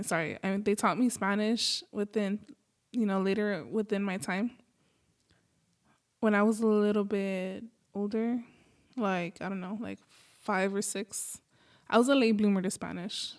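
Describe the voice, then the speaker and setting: An American woman from Los Angeles hosting a podcast solo, talking in a casual, chatty and easygoing way. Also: mid-range voice. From Los Angeles, United States. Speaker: podcast host.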